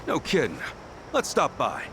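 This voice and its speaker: An actor voicing a brash, loyal, hot-blooded hero in an action video game, talking bluntly and casually. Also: male voice, medium pitch, huge pitch swings, measured pace, noisy background.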